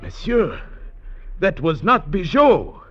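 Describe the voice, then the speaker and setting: An actor playing a stern, excitable prison commandant in an old radio drama, giving short commands. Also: male voice, sits high, slow pace, background noise, heavily acted. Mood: horrified urgency. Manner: commanding, clipped exclamations. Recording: muffled old radio recording.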